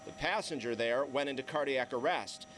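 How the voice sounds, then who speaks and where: A man's voice: mid-range voice, moderate pace, fairly bright tone, a little hiss or hum. A man delivering a scripted TV news report as a field reporter, live from a city street.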